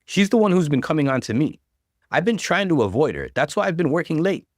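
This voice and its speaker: An earnest, desperate husband in a story reading, performed by a male narrator. He protests his innocence emphatically, pitch leaping widely. The recording is dry. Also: very animated, quick pace, no echo. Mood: desperate pleading.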